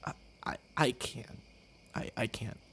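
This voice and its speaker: A man playing a tender, heartbroken young boyfriend in an intimate ASMR roleplay, with halting, stammering delivery and sighs. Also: a bit bright, lightly acted. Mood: pained, earnest.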